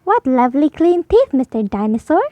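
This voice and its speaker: A woman's voice: high-pitched, sing-song, normal speed, warm tone, no echo. A woman voicing an eager, curious little girl pig in a storybook, exclaiming and asking questions. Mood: playful.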